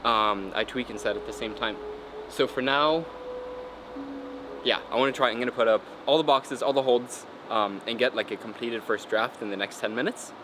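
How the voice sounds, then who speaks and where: A man's voice: mid-range voice, moderate pace, noisy background. A man setting a climbing route, talking casually while he works and fighting off a cold, thinking aloud with plenty of ums and likes. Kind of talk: casual vlog narration while working. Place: indoor climbing gym.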